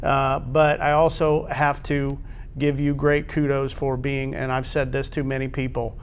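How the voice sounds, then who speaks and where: A man's voice: medium pitch, level tone, measured pace, a little hiss or hum. A man with an American accent hosting a casual, conversational interview. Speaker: interview host, athletic administrator.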